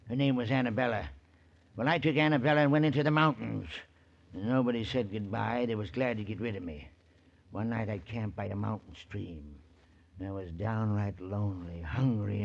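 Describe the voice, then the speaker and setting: An actor playing a lonely, unschooled mountain hermit in a 1940s radio drama, with an American accent and folksy, ungrammatical speech. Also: male voice, lowish voice, vocal fry. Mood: wistful. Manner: folksy rustic speech with poor grammar. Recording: muffled, hissy 1940s radio recording.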